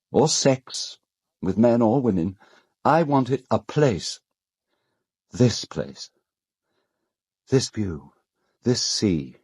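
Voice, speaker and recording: Urbane, low-voiced British gentleman. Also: slightly rough, no echo, clean studio sound, full character voice. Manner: measured, articulate, dryly ironic, with hesitant pauses. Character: urbane, cold, self-justifying English gentleman confessing a crime. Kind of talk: audio drama acting.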